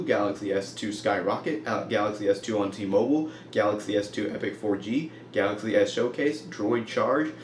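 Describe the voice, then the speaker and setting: A young man with a fairly low voice comments on the news casually and humorously. He is informal and wry, leaning into mock-emphatic asides. The recording has a clear room echo and faint noise behind him. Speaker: host of a comedic news web show.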